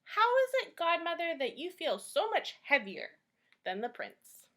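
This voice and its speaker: A woman's voice: very high voice, wildly swooping pitch, measured pace, fairly bright tone, pitched above the performer's own voice, exaggerated voice. A woman voicing a sweet, naive young maiden from a fairytale with an earnest, guileless delivery. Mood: innocent, puzzled.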